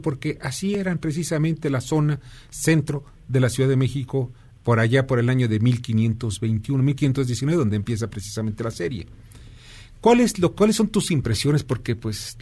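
A man's voice: mid-range voice, a little mellow. A man hosting a radio talk show, effusive and prone to long, rambling questions. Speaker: radio host.

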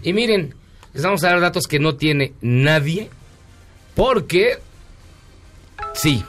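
A Mexican man hosts a radio talk show and chats easily with his co-hosts. His voice sits a little high for a man, and faint music plays underneath. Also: animated, takes it slowly, a bit bright. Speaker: radio host.

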